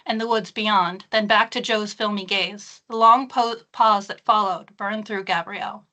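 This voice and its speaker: A woman's voice: mid-range voice, fairly even intonation, a little slow, pushed and bright. A woman author, reading her own fiction aloud or chatting about it as a guest.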